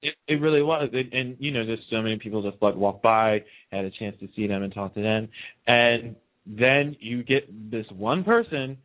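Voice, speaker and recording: A man's voice: mid-range voice, moderate pace. Casual male storyteller on lo-fi radio. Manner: emphatic repetition of phrases. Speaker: radio host being interviewed.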